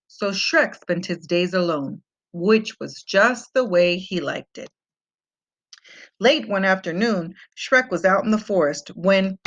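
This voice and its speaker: A woman reading a children's fairy tale aloud as an expressive storyteller. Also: medium pitch. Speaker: storybook reader. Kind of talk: scripted children's read-aloud narration. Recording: muffled, dull lo-fi home recording.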